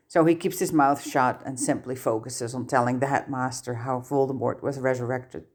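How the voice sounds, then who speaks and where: A woman's voice: a bass voice, a little mellow. A woman narrating a piece of fiction from a script, as an audiobook reader does.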